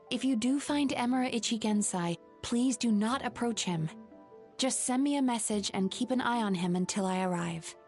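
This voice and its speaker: A woman giving voice to a calm, caring young therapist from a game. Her voice sits a little high and she speaks gently and evenly, choosing her words with care. Faint game music hums behind her. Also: natural voice. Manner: composed, gentle, measured. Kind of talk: game cutscene voice acting.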